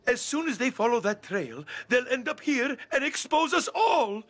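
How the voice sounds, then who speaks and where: A man's voice: extremely high pitch, very animated, normal speed, a bit bright, full character voice. A man playing an anxious, proper young monster in a children's cartoon, with a fussy, dramatic delivery. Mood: alarmed. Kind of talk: animated children's cartoon acting.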